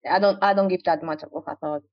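A woman's voice: lowish voice, steady tone, fast talker, no room sound. A woman guest on a podcast, talking in casual interview chat, hesitant with frequent restarts. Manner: hesitant, many restarts and fillers. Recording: dry, dull lo-fi audio.

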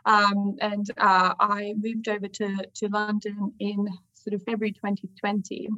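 A woman's voice: mid-range voice, little pitch movement, a little slow, sharp tone, no echo. A woman with an Australian accent, a lawyer answering interview questions hesitantly with many ums, over a video call. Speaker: guest lawyer. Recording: dull video call audio.

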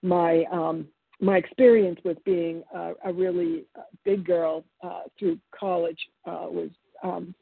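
A woman's voice: on the low side, fairly even intonation, vocal fry, husky, dry recording. Older woman telling her story by phone. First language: Italian. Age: 70s. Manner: halting, many fillers and restarts. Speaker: meeting speaker sharing her story. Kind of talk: personal testimony at a recovery meeting. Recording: phone-line audio.

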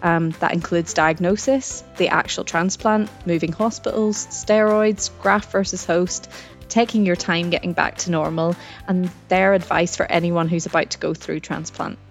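A woman presenting a podcast, reading a scripted intro in a clear, measured way. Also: lowish voice, fairly even intonation, soft background music. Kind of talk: scripted narration.

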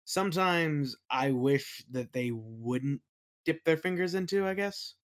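A podcast guest chatting casually about creative work, a little hesitant and softening opinions as they go. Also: medium pitch, expressive, takes it slowly. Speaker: interview guest, creator of a fantasy audio play. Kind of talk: casual interview chat about worldbuilding.

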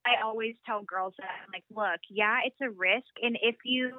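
A woman's voice: a little high, a little slow, background noise. A woman in her late twenties, a boutique founder chatting in a phone interview, upbeat and full of fillers. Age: 20s. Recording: tinny phone line, dry.

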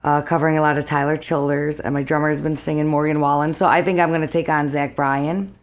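A woman singer with a raspy voice, chatting upbeat and laughing easily as a guest on a talk show. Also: low-pitched, level tone, fast. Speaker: singer and band leader, guest. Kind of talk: casual interview chat.

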